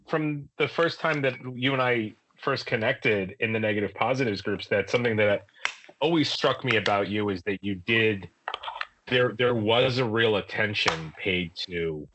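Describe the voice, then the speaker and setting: A man co-hosting a casual chat, earnest and a little halting as he speaks. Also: on the low side, noisy background. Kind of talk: casual conversation.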